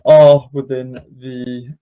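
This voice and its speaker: A man presenting an informal step-by-step software tutorial, explaining as he goes in an unscripted, hesitant way with frequent ums. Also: mid-range voice, level tone, very slow, clear, resonant tone, dull audio. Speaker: presenter of a software demonstration webinar.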